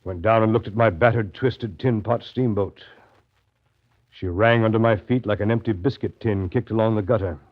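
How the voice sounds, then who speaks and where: An actor playing a riverboat captain recounting a grim journey in brooding, deliberate 1930s radio drama narration. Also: male voice, lowish voice, natural voice. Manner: brooding, deliberate, literary storytelling. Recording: dull, lo-fi 1930s radio recording.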